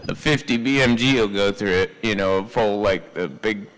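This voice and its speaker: A man chatting casually as a radio operator, in a halting, unhurried way that tends to trail off. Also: on the low side, a bit bright, poor audio. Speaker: CB radio operator hosting a live stream.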